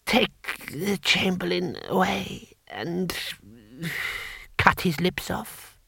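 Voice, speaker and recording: A man's voice: high-pitched, vocal fry, sharp tone, husky, no room sound, pitched above the performer's own voice, heavily acted. A man voicing an ancient, gleefully cruel emperor in a thin, whispery old voice for an audiobook. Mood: gleeful cruelty. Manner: slow, halting, drawn-out. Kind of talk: audiobook character voice.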